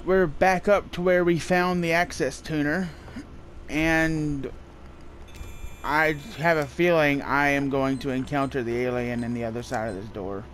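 A man's voice: on the high side, fairly even intonation, a little slow, a bit bright, slight background noise. A man giving casual let's play commentary as he plays a video game. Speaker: video game player and host. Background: faint game ambience.

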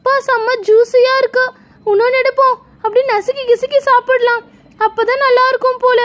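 A woman's voice: little pitch movement, a little fast, fairly bright tone. A woman voicing a sneaky, jealous little girl who brags and taunts in a children's toy story. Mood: greedy delight. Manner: squeaky, sing-song bragging and taunting.

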